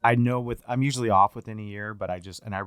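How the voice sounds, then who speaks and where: Smooth low-voiced middle-aged man. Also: fairly even intonation, fast talker, fairly bright tone, no echo, studio quality. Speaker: podcast host. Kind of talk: casual interview chat.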